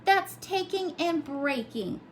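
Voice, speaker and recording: A woman's voice: very high voice, expressive, slow, a little hiss or hum, voice pushed up, heavily acted. A woman voicing a frank young boy mackerel in a storybook, blunt and emphatic. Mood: indignant.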